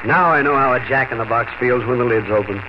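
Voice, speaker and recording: A man's voice: mid-range voice, fast talker, background noise, natural voice. A man playing a devoted, plainspoken, folksy husband in a 1950s radio drama, speaking tenderly. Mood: tender relief. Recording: muffled 1950 radio broadcast with music.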